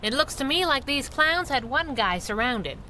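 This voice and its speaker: A woman's voice: high voice, animated, normal speed, sharp tone, slight background noise, rough recording. A woman playing a brash masked crimefighter who cracks wise in snappy period slang in a radio adventure serial. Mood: deductive, teasing. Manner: snappy 1930s slang, wry. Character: brash, wisecracking female masked crimefighter sidekick.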